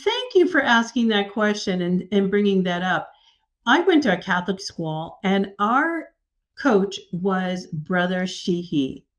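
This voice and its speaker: A woman from New York City, an executive coach, telling stories as a podcast guest in an animated, enthusiastic way. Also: medium pitch, unhurried. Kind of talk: casual interview.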